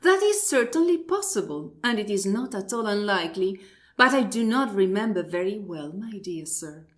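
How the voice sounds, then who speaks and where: A woman's voice: mid-range voice, big pitch swings, natural voice. A woman playing a prim, courteous, politely baffled lady with formal delivery in an absurdist radio play. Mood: polite doubt. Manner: formal, deliberate, mock-astonished. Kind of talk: absurdist stage dialogue read as radio play.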